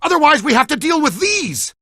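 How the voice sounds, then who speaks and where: A seasoned video game ghost hunter, talking like a streetwise squad mate. The voice is forceful and bright, with big pitch swings and no room echo. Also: male voice, very animated, brisk, clean studio sound, full character voice. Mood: urgent, alarmed. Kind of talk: video game character dialogue.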